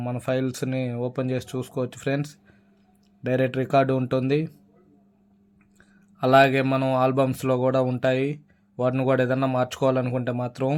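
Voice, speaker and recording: A man's voice: medium pitch, smooth. A man hosting a casual app tutorial, addressing listeners as friends. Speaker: YouTube app tutorial host.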